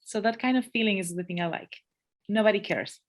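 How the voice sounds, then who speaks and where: A woman's voice: mid-range voice, fairly quick. Chatty Peruvian woman with Spanish accent. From Peru. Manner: chatty, laughs often, restarts sentences. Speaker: podcast guest. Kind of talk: casual interview chat.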